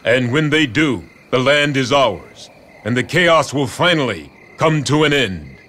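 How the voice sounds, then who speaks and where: An actor playing a proud, determined military commander in a video game, speaking deliberately. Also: male voice, medium pitch, animated, a bit bright. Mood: weary disdain. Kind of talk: dramatic video game cutscene acting.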